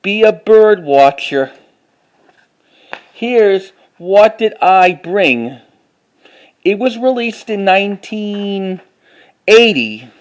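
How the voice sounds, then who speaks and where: A man narrating a casual, unscripted show-and-tell as a collector, haltingly, repeating phrases and reading titles aloud. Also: high voice, lively intonation, clean tone. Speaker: collector showing finds to camera.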